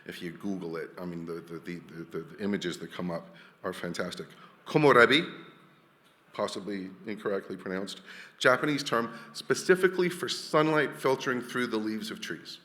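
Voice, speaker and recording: Man, conference speaker, chatty and joking, bright forceful voice, lowish pitch, long hall reverb. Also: normal speed. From Wales. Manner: chatty, jokey, many restarts and fillers. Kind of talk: conference talk. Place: large hall.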